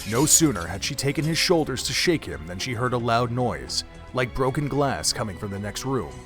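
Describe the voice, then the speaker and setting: A man's voice: mid-range voice, sing-song, normal speed, a bit bright. Man narrating dramatically over music. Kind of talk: scripted audio drama narration.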